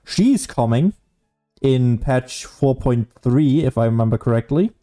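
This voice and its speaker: A male gaming streamer talks casually over his gameplay. His voice sits at a middling pitch with a soft, mellow edge. He rambles and thinks aloud, with faint music playing behind him. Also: animated, a little slow. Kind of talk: casual solo gameplay commentary.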